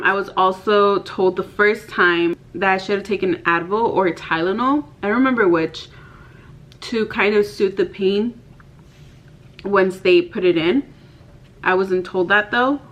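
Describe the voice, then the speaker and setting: A woman's voice: mid-range voice, a little slow, fairly bright tone. A woman of about thirty talking casually to the camera in her bedroom. She is candid and chatty and fills her talk with "like". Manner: chatty, candid, many "like" fillers. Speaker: vlogger. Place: bedroom, indoors. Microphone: camera in front, head and shoulders.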